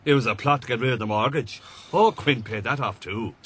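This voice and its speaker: An actor playing an older, avuncular Irish army captain, blunt and worldly, in a radio drama. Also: male voice, medium pitch, brisk, a bit bright, breathy edge. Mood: wry.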